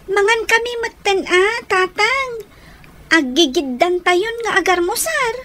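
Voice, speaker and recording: An actress with an Ilocano accent playing an earnest, gentle woman in a family radio drama. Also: very high voice, lively intonation, unhurried, a little hiss or hum, full character voice.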